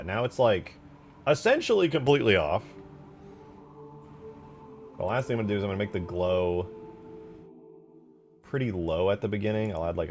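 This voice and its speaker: A man giving casual, slangy commentary as a game modder, muttering to himself as he thinks out loud while working. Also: low voice, a little hiss or hum.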